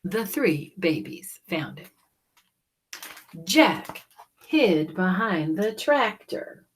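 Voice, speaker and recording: A woman reading a children's story aloud in a dramatic, deliberate way, with hushed moments. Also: fairly low pitch, very animated, slight background noise. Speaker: storybook reader.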